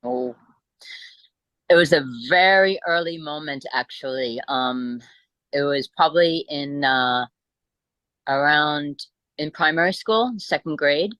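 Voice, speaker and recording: An American woman speaking reflectively as an interview guest, halting as she searches for words. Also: low voice, fairly bright tone, smooth, no room sound. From born in Italy, grew up in the United States. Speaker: interview guest, Buddhist teacher.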